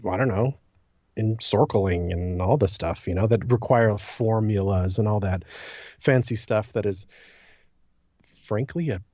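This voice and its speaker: A man's voice: lowish voice, unhurried, long pauses, warm tone. A man co-hosting a podcast in casual, conversational discussion with a self-deprecating manner. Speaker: podcast co-host. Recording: slightly dull lo-fi, roomy echo.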